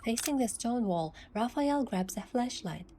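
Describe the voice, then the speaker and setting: A woman's voice: on the high side, expressive, unhurried, warm tone, slight background noise. A woman giving scripted first-person narration of an adventure story as its protagonist.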